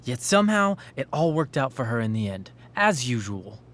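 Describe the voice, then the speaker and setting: Anxious clingy teenage boy in a video game, pushy and emphatic, really animated up and down delivery. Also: medium pitch, normal speed. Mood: accusing, insistent. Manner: emphatic, pleading, pressing. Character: intense, possessive teenage boy, anxious and accusing. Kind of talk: dramatic video game acting.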